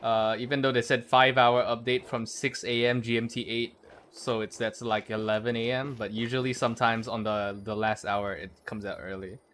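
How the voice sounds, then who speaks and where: A man's voice: medium pitch, little pitch movement, moderate pace, a bit bright, slight background noise. A man livestreaming a video game, giving slangy, offhand commentary as he chats to viewers. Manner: slangy, offhand, muttering to chat. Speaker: livestreamer, VTuber. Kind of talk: casual gameplay stream commentary.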